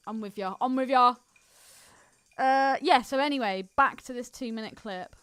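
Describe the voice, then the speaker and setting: A woman's voice: a little high, normal speed, fairly bright tone, clear, resonant tone, no room sound. A woman co-hosting a podcast in casual, joking film chat, irreverent and teasing, happy to swear, with soundtrack music behind her. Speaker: podcast co-host. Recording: music playing behind the talk.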